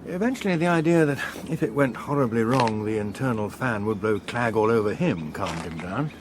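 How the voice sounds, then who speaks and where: An actor playing a suave, unflappable veteran politician in a radio drama, with an upper-class English accent and a dry, measured, ironic manner. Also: male voice, lowish voice, lively intonation, background noise, natural voice. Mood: dry, wry.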